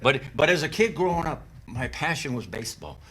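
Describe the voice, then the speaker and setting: A man's voice: a little high, sing-song, a little fast, background noise. A man hosting a sports interview, eager and enthusiastic, often repeating words as he talks. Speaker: interview host. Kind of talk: casual interview chat.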